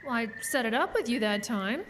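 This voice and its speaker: An actress playing an ambitious young carnival worker in a horror audio drama, blunt, insistent and plain-spoken. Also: female voice, on the high side, animated, brisk, a bit bright, a little hiss or hum. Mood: defiant.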